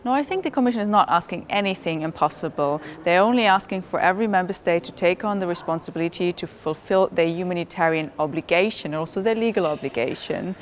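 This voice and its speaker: A woman's voice: lowish voice, fast, hardly any pauses, a little hiss or hum. A woman interviewee answering directly and emphatically.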